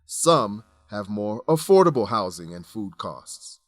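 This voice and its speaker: A man presenting an English lesson in deliberate, scripted educational narration. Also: on the low side, sing-song, dry recording. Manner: deliberate, instructional.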